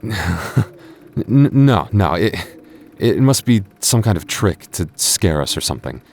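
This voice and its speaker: A man playing a devoted, earnest young boyfriend in an intimate audio roleplay, speaking haltingly with stammers. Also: on the low side, very animated, vocal fry, a little mellow, full character voice. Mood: alarmed, confused. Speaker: voice actor.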